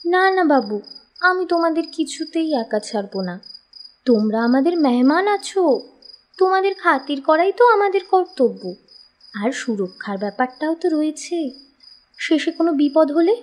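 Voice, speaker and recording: Creepy village ghost girl, high swoopy teasing voice, music behind. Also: animated, moderate pace, a little hiss or hum. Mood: coaxing, insistent. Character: eerie village young woman, a ghost, slyly over-friendly. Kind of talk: Bengali audio horror story acting.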